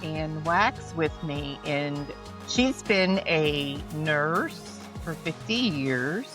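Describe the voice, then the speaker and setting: A woman's voice: sits low, fairly bright tone. A woman hosting a podcast, introducing her guest in a friendly, slightly rambling way. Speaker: podcast host.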